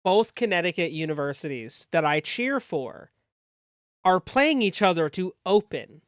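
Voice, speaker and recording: A podcast co-host in casual sports chat, blunt and animated, swearing freely. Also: mid-range voice, unhurried, dry recording, clean studio sound.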